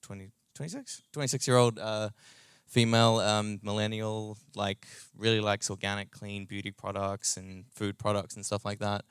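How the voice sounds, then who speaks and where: A man with a New Zealand accent giving an informal startup talk in a casual, halting, slangy manner. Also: fairly low pitch, fairly bright tone, clear, resonant tone, no room sound, clean studio sound. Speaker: startup co-founder, tech and growth executive.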